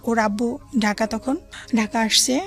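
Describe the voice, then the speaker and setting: A woman's voice: on the high side, steady tone, measured pace, soft background music. A woman with a Bangladeshi accent tells a personal story as an interview guest, running on in detail and quoting conversations. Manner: recounts in long run-on detail, quoting dialogue. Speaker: guest telling own life story.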